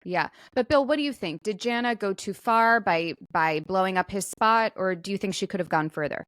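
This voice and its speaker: A woman's voice: mid-range voice, normal speed, fairly bright tone, no room sound. A woman with a mid-range voice, recorded close and dry. She chats casually as a podcast co-host, with a frank, wry, conversational way of talking. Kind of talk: casual comedic chat.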